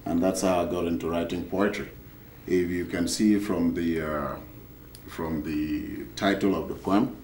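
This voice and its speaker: A man from Cameroon, a poet and author, answering at a panel discussion in a measured way with frequent pauses. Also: low voice, a little mellow. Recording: echoey room, far from the mic, faint noise.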